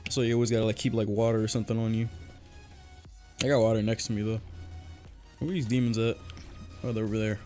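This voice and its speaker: A man's voice: lowish voice, little pitch movement, fast, pauses often, a little mellow, soft background music. A young man chatting casually with viewers while playing a game, joking and laughing, his voice a bit worn from talking for hours. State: voice getting worn from long talking. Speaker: game streamer. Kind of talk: casual live-stream chat while gaming.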